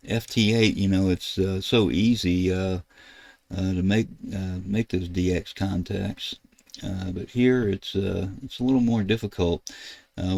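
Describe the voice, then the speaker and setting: An older man, giving a casual, halting screen tutorial as a ham radio video host. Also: deep voice, creaky, darkish tone, no echo. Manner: halting, rambling explanation. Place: indoors at a computer desk.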